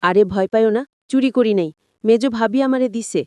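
A woman acts out a sly, defensive husband in a narrated family story. The voice is high and dry, with persuading, self-pitying talk in colloquial rural Bengali. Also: normal speed, darkish tone, no echo, close to an everyday voice. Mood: coaxing.

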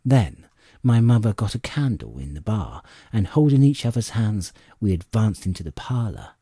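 A man narrating a classic adventure audiobook in an expressive, suspenseful storytelling manner. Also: lowish voice, normal speed, husky, no echo, clean studio sound. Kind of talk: dramatic scripted storybook narration.

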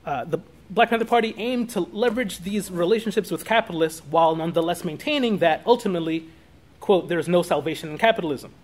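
A man in his thirties giving an academic lecture from notes, halting at times and correcting himself as he goes. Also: high voice. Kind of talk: academic talk read from notes with spoken asides. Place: small classroom or meeting room, indoors.

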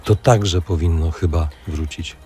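A man's voice: low voice, unhurried, mellow, soft background music. A man interviewing guests in conversational speech in a radio studio.

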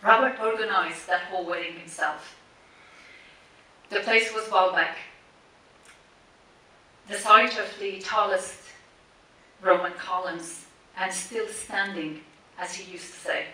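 A woman's voice: medium pitch, fairly even intonation, sharp tone. Woman speaking in a big echoey cinema. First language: Persian. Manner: measured, deliberate phrasing with listed words and pauses. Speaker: speaker introducing a tribute screening. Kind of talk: prepared memorial speech. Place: large cinema auditorium, standing on stage. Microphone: stand mic, recorded by a distant camera in the audience. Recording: echoey cinema from far off with faint hiss.

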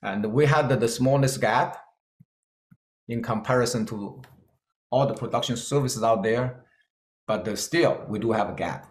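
A middle-aged man with a Chinese-Scottish accent gives a keynote in a roomy hall. His pitch sits in an ordinary middle range. He speaks earnestly and plainly, with hesitant fillers. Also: a little slow, pauses often. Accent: Chinese-Scottish English. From China. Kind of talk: conference talk with slides.

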